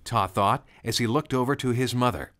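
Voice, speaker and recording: A man narrating a scripted children's story in an expressive, conversational way. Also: medium pitch, moderate pace. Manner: conversational, expressive, rhetorical questions.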